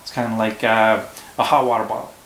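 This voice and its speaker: A man's voice: fairly low pitch, level tone, a little fast, gravelly, a bit bright, echoey room, a little hiss or hum, rough recording. A man teaching at home, explaining a storybook lesson to a child patiently and step by step. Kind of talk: casual classroom discussion.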